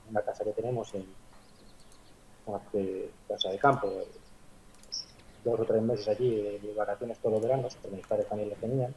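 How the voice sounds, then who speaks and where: A man's voice: lowish voice, a little mellow, muffled recording, a little hiss or hum. A man in his forties from Madrid, a basketball coach answering interview questions thoughtfully, with many hesitations and restarts. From Madrid, Spain. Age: 40s. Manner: reflective, frequent hesitations and restarts.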